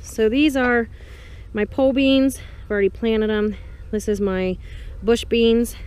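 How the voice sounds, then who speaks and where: A woman vlogger giving a chatty, casual walk-through of her garden, pausing between short phrases. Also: a little high, fairly even intonation, a little mellow. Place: small greenhouse and open farm garden, outdoors. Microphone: action camera's built-in mic, worn or handheld. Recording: outdoor handheld action camera with background noise. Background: clear ambient outdoor noise.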